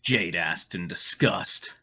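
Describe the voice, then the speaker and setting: A man's voice: low voice, slow, sharp tone, breathy edge. A man narrating a story as a scripted reading, with casual comic asides.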